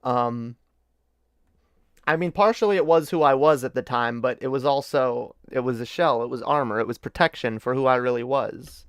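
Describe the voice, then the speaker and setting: A man from Canada hosting a casual stream, reacting to his own old clips and breaking into high-pitched laughter. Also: normal speed, smooth, no room sound, clean studio sound.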